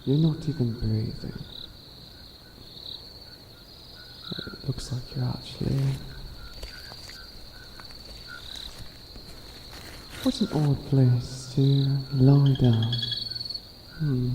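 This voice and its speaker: A man playing a centuries-old, boastful but bumbling evil witch in an ASMR comedy roleplay, speaking in a theatrical, halting, grandiose way. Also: medium pitch, fairly even intonation, roomy sound, noisy background, natural voice. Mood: puzzled.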